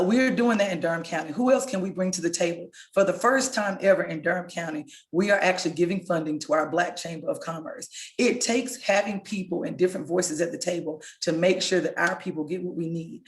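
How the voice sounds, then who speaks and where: A hoarse woman, a local elected official, giving an impassioned, rallying speech over a video call. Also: lowish voice, brisk, few pauses. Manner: impassioned, rallying, direct appeals to listeners. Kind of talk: rousing speech. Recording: echoey room sound.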